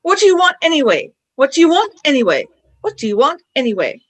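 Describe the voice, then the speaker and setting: A feisty, nervous young girl, acted by a woman in a radio drama. Her voice is high and swings widely, sounding earnest and excitable. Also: fast. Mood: indignant. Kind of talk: audio drama radio play acting.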